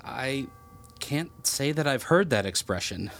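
An actor playing a patient, mild-mannered young priest in an audio drama, hesitant and politely skeptical. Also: male voice, medium pitch, lively intonation, measured pace, fairly bright tone, soft background music. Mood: bemused. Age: young adult. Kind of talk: naturalistic audio drama acting.

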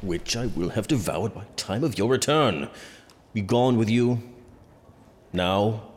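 An actor playing a pompous, vain and gluttonous medieval monarch, imperious in an audio drama. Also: male voice, on the low side, lively intonation, slow pace. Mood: dismissive, imperious. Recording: long hall reverb, faint ambient noise.

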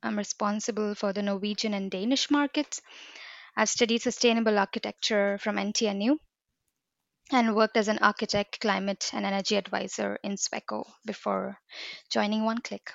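A woman from India speaks, explaining things fluently and earnestly. Her voice has a gravelly fry to it. The audio is dry and a little dull. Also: mid-range voice, steady tone, moderate pace, no room sound. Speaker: guest, business developer. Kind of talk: podcast interview.

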